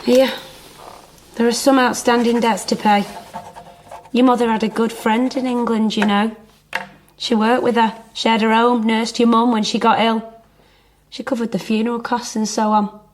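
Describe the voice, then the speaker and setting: An actress playing a tactful, practical estate adviser with a polite, matter-of-fact manner, in a radio drama. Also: female voice, on the high side, flat delivery, moderate pace, pauses often, a little hiss or hum, close to an everyday voice. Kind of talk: naturalistic radio drama acting.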